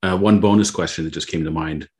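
A man's voice: deep voice, steady tone, fast talker, darkish tone. A man from Canada hosting a casual interview over a video call, friendly and rambling, full of fillers. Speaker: interview host.

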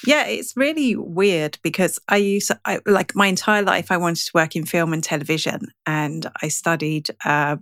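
A woman's voice: fairly low pitch, moderate pace, hardly any pauses, a bit bright, no room sound, clean studio sound. A woman speaking as a podcast guest, telling her story in a casual, conversational way. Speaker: podcast guest, digital marketing trainer.

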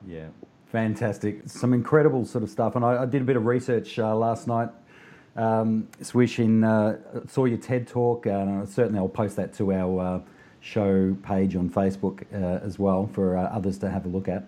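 A man's voice: lowish voice, level tone, moderate pace, mellow. A man hosting a coaching podcast, introducing a guest and asking long, hesitant questions. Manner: hesitant, many fillers and restarts when asking questions. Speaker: podcast host. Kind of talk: podcast interview.

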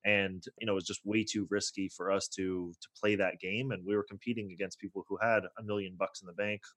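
A man's voice: sits low, little pitch movement, a little fast, fairly bright tone. A man in his twenties with a North American accent, telling his story casually and informally as a podcast guest. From born in Toronto, grew up in California's Bay Area. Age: 20s. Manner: informal, slangy, peppered with "like" and "you know". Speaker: podcast guest, real estate investor.

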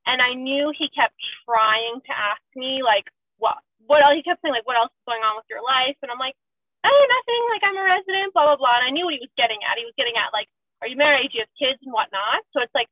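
A woman's voice: high-pitched, lively intonation, fast, no room sound. A woman in her thirties, a surgical resident, talking candidly and self-mockingly in a casual chat. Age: 30s. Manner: chatty, self-mocking, often laughing. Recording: tinny phone line.

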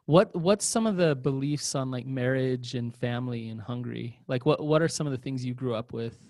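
A man co-hosting a podcast, leading the interview with probing questions. He often stumbles and restarts mid-sentence. His voice is mid-pitched and the recording is dry. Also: fairly quick, darkish tone, dry recording.

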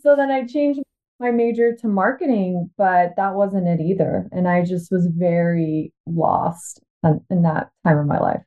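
A woman with an American accent, chatting informally and excitedly as a podcast guest telling her own story. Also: lowish voice, unhurried. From Washington State, United States. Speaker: podcast guest, life coach. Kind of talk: casual chat, telling personal story. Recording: thin phone-like call audio.

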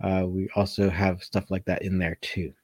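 Relaxed guy coach doing a live q&a, soft mellow tone, keeps rewording himself, really dry close sound. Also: low-pitched, dry recording. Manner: halting, thinks aloud with repeated rephrasing. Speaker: catch wrestling coach hosting a live Q&A. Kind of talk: casual live chat answering viewer comments.

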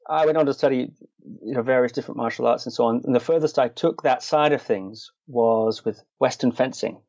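A man's voice: mid-range voice, darkish tone, no room sound. Dry-humoured man from Scotland, slightly dull audio. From Shetland Islands, Scotland. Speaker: interview guest, meditation and martial arts practitioner. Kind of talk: conversational interview, storytelling.

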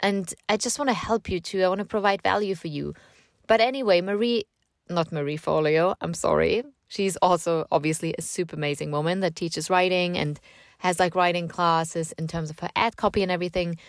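A woman with a slight German accent, lightly mixed with American and British, talks through a solo podcast in a loose, friendly ramble. Her voice sits fairly low, and the recording is dry and close, with no room sound. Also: steady tone, brisk, dry recording. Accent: German, with slight American and British touches. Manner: casual, chatty, repeats words, rambling asides. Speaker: podcast host and writing coach. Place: home in the countryside.

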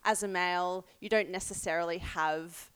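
A woman's voice: fairly low pitch, fairly even intonation, moderate pace, fairly bright tone, clean tone. A woman hosting a casual podcast interview, bubbly and upbeat with plenty of fillers. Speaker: podcast host, fitness coach.

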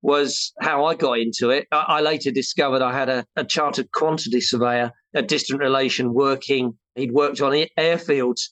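A man's voice: medium pitch, fairly even intonation, moderate pace, hardly any pauses, fairly bright tone, dry recording. A man in his sixties from England, a surveyor being interviewed, talking in a chatty, rambling way with many restarts and self-deprecating jokes. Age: 60s. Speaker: chartered building surveyor, guest. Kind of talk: casual interview talk.

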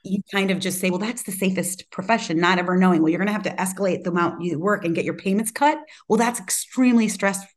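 A woman's voice: medium pitch, fast, few pauses. A woman speaking as an interview guest in a reflective, conversational way, self-assured and emphatic with a strong voice. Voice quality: loud, strong voice.

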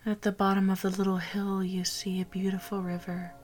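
A woman guiding a meditation in a soft, partly whispered voice. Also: medium pitch, completely flat delivery, a little fast. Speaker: meditation guide. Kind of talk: guided meditation.

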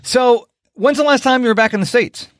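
A man's voice: very high voice, brisk, no echo, studio quality. A man hosting a podcast interview, chatting casually, laughing often and teasing his guest. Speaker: podcast host.

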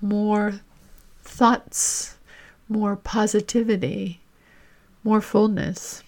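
Slow-paced woman guiding a meditation. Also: mid-range voice, level tone. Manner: gentle, deliberate, with long reflective pauses. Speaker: podcast host leading guided reflections. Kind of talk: guided meditation and reflection.

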